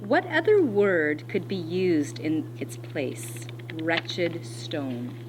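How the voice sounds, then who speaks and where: Expressive woman reading a storybook aloud. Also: lowish voice, background noise. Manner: expressive, deliberate reading with dramatic pauses. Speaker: schoolteacher. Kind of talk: read-aloud of a children's storybook with teacher asides.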